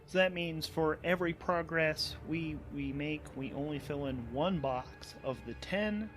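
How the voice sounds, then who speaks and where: A man hosting and narrating a solo tabletop game in a casual way, thinking aloud and improvising haltingly, often repeating words as he goes. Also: on the high side, fairly bright tone, faint music behind.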